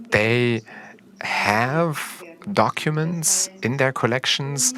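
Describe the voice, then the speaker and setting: A man live-interpreting a panel discussion, speaking in halting, short fragments of phrases. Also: lowish voice, a bit bright. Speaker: simultaneous interpreter.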